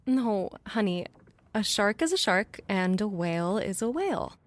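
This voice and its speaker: A woman's voice: medium pitch, big pitch swings, measured pace. A woman playing a forthright, logical scientist in an audio drama, casual and sardonic as she explains things. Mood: patient explaining. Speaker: actor.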